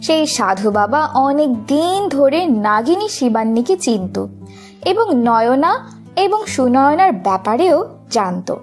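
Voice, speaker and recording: A woman narrates a children's fairy tale in a high voice with lively, swinging intonation. She reads the script at an unhurried, slow pace. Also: faint music behind.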